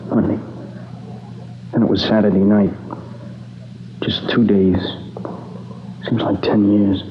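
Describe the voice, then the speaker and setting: A shaken, exhausted young witness with a lowish, monotone voice. He speaks haltingly, as if haunted, in old radio drama style, on a muffled recording. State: drained, shaken. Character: battered, exhausted young man reporting his friend's murder. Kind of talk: 1950s radio drama acting. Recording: dull, noisy vintage radio recording.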